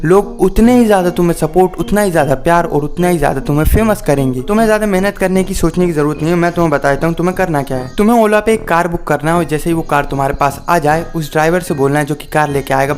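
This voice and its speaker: A man's voice: a little high, fast, hardly any pauses, faint music behind. A man in his early twenties with an Indian accent, hosting a sarcastic, mock-earnest comedy monologue and switching into English for emphasis. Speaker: video host.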